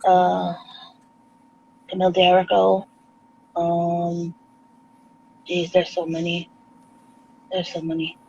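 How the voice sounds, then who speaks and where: A woman with a New York accent chats as a guest, relaxed and quick to laugh, her voice gravelly with a lot of fry. She comes through dull, lo-fi video-call audio with a faint hiss behind her. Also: on the low side. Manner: relaxed, laughs often, rapid asides. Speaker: guest artist. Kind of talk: casual chat.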